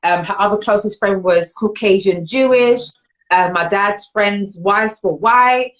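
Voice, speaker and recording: A woman from London with a London accent, hosting a casual live-stream talk show, animated and laughing often. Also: unhurried. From London, England. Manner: animated, laughs often, jumps up in pitch when excited. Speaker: host.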